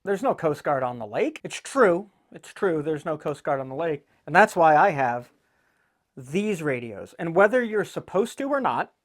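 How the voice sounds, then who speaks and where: A man's voice: fairly high pitch, sing-song, normal speed. A man hosting a casual how-to video, with a blunt, irreverent, joking and sweary manner, talking straight to viewers in a folksy way. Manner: folksy, addresses viewers directly. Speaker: host of a boating DIY video channel. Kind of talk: casual instructional vlog. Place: indoors in an office.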